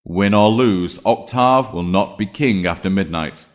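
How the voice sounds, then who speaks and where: An actor playing a calm, determined, quietly crafty deposed king in an audio drama, measured and resolute. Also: low-pitched, slight background noise. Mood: quiet determination.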